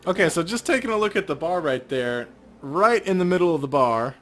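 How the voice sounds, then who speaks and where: A man's voice: a little high, lively intonation, fairly quick, soft background music. A man co-hosting a let's play, narrating game text aloud and adding casual commentary.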